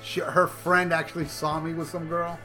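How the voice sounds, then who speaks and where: A man's voice: on the high side, level tone, moderate pace, pushed and bright. A middle-aged man hosting a podcast, telling a long crude story in a rambling, profane way with stumbling restarts. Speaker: podcast host. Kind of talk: casual storytelling. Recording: music playing clearly underneath.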